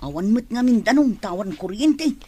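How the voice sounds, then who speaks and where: High-voiced, anxious woman in war drama acted. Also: very animated, moderate pace, mellow, slightly rough. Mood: weary grumbling. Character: frightened, exhausted woman migrant worker fleeing war. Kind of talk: Ilocano radio drama acting.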